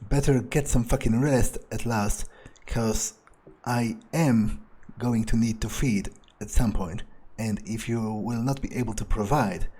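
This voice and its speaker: A man's voice: medium pitch, a little slow, hardly any pauses, warm tone, breathy edge. A man playing a cruel, impatient vampire in a video game roleplay, musing aloud with menace. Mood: menacing, weary.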